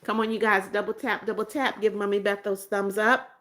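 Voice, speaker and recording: A woman's voice: medium pitch, level tone, a little fast, fairly bright tone. Chatty seventy-year-old woman live-streaming. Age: 70s. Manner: chatty, reads viewer comments aloud and answers them; calls herself Mommy Beth and repeats phrases. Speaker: livestream host. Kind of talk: casual live-stream chat.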